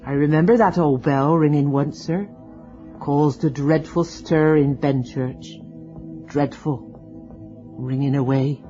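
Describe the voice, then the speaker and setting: A woman's voice: sits low, steady tone, slow, mellow, faint music behind. A woman playing a deferential, superstitious old charwoman in a radio drama, hinting at gossip. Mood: gossipy. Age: older. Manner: deferential, hinting, rustic turns of speech. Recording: dull lo-fi radio drama recording.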